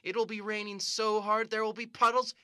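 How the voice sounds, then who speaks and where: A woman playing a chirpy, absurdly confident weatherman, brisk and matter-of-fact, in improvised radio comedy. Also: mid-range voice, flat delivery, sharp tone, close to an everyday voice.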